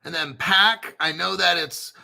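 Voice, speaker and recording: A man's voice: on the high side, a little slow, creaky, bright, forceful tone. A man hosting a casual crypto show, talking bluntly and profanely, rambling with restarts. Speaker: crypto channel host. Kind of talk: casual livestream chat.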